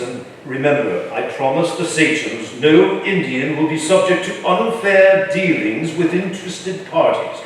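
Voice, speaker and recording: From far off in a very echoey room comes a man playing an older colonial governor, his voice on the high side. He is imperious and formal, with a dry, shrewd edge, as in a historical stage play. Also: a little hiss or hum. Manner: commanding, formal, wry.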